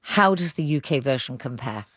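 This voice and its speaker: A woman's voice: a bass voice, animated, moderate pace, breathy edge, no echo, clean studio sound. A woman presenting an arts show, introducing and questioning guests.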